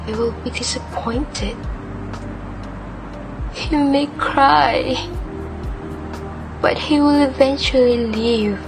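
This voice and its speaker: Slow, deliberate woman narrator over music. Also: high-pitched, fairly even intonation. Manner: deliberate, storytelling. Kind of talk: scripted dramatic narration for a radio play. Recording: music and crowd noise behind. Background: clear music and crowd ambience.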